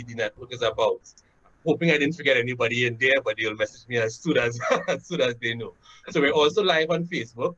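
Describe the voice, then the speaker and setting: A man's voice: mid-range voice, sharp tone. Trinidadian male host, 30s, casual chatty webinar intro, dull laptop-call sound. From Trinidad and Tobago. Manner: conversational, frequent "right?" tags and "um" fillers. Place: home room, indoors. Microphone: laptop webcam on a video call. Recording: dull lo-fi video call audio.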